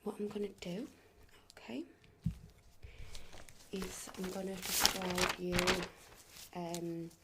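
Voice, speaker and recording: A woman speaking softly and reassuringly in an ASMR medical roleplay as a clinician, often dropping to a whisper. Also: lowish voice, steady tone, slow, long pauses, very noisy. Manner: gentle, reassuring, often checking with "okay?".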